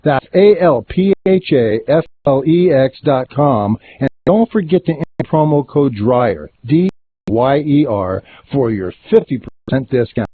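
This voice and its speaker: A man reading a scripted radio commercial as an emphatic sales pitch. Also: expressive, a little slow, a little hiss or hum. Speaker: advertisement announcer. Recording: narrow phone-like radio sound.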